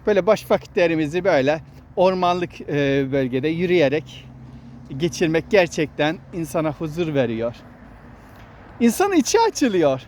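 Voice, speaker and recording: A man's voice: fairly high pitch, darkish tone. A man hosting a casual walking vlog outdoors, explaining things as a father talking to his child and to viewers. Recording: noisy outdoor recording with traffic hum.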